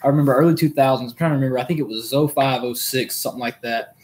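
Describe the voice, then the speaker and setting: A man's voice: medium pitch, a little mellow, slight background noise. Rambling male podcast co-host. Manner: rambling storyteller with quick asides. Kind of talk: casual chat.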